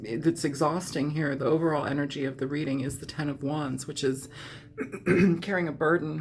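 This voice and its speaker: A woman reading tarot in a chatty, meandering way, hoarse and scratchy from a cold, often clearing her throat. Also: low voice. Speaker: tarot reader. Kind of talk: casual tarot reading monologue.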